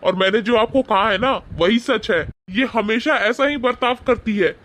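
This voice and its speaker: A man voicing an angry young husband in an animated moral story, rude, accusing and indignant. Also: sits high, moderate pace. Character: angry young husband scolding wife and mother-in-law.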